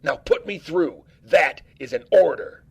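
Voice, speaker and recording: A man's voice: fairly high pitch, unhurried, vocal fry, slightly rough, exaggerated voice. An actor playing a determined male Starfleet acting captain, with firm, clipped officer's speech. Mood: commanding. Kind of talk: fan audio drama acting.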